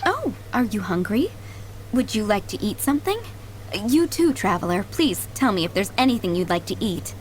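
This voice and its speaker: A woman voicing a kindly, middle-aged head maid in a video game, polite, doting and gently chatty. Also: mid-range voice, big pitch swings, normal speed, a little hiss or hum, lightly acted, rough recording. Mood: fond, attentive. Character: kindly motherly head maid, fondly remembering boys she raised. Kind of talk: video game character acting. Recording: background music under the voice.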